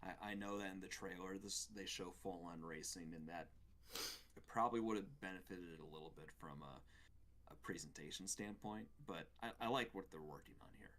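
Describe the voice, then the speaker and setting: A man's voice: deep voice, moderate pace, a bit bright. A man co-hosting a gaming show, giving his take on games in casual conversation. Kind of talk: casual game review chat.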